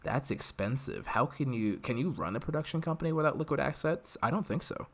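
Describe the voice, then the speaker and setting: A man's voice: mid-range voice, expressive, brisk, a bit bright. An American man hosting a live podcast, chatting casually and giving his opinions in a conversational way. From United States. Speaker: podcast host.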